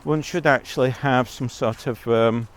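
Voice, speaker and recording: A man's voice: medium pitch, steady tone, a little slow. A man giving a blunt, rambling monologue as a vlogger, talking while out on a walk.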